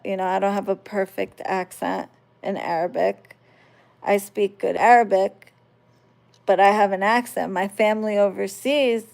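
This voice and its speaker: A woman's voice: mid-range voice, vocal fry, darkish tone. A woman from the United States, casually talking to her viewers and often checking that they follow along. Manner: conversational, checks listeners understand. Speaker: spiritual channel host.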